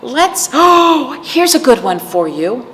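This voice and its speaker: Slow-paced woman hosting children's storytime, echoey room. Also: high voice, very animated. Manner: gentle, playful, addressing young viewers as friends. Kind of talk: read-aloud and chat for children. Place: indoors, room with aquamarine wall. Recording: echoey room with clear background noise.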